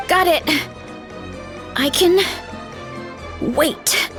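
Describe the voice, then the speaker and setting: A woman voicing a gentle, modest young female fighter in a video game, with a soft, easygoing manner. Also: extremely high pitch, very slow, exaggerated voice. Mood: dazed, hungry. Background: game music.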